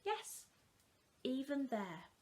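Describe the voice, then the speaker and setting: A woman reading the part of a calm, loving mother who gently and patiently reassures her young children in a storybook. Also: sits high, extremely slow, full character voice. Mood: soothing.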